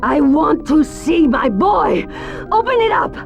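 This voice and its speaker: Old mob ma in a game, super shrill n raspy creak, nasty threats, out for revenge. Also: female voice, sing-song, slow pace, faint music behind, exaggerated voice. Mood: grief-stricken, demanding. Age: older. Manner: screeching, threatening. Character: vicious, foul-mouthed old crime family matriarch, grieving and vengeful. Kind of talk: video game cutscene acting.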